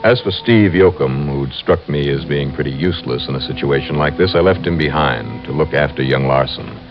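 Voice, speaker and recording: A man's voice: rumbling low, normal speed. An actor playing a tough, determined male investigator in an old-time radio drama, narrating his case in a clipped, dramatic, hard-boiled manner. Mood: grim narration. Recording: muffled vintage radio recording, dramatic music behind.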